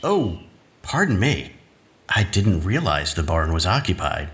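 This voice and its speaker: An actor playing a sly, smug villainous brother in an audio drama, with urbane, mocking formality. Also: male voice, sits low, sing-song, unhurried, fairly bright tone, husky, no room sound, full character voice. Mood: courteous, mocking.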